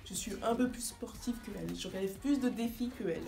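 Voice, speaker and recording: A woman's voice: fairly high pitch, quick pace, vocal fry, warm tone, echoey room, faint music behind. A woman in her twenties, born in Guinea and raised in France, chatting casually and giggling as a vlogger. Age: 20s. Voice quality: giggly, rambling. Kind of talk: casual chat.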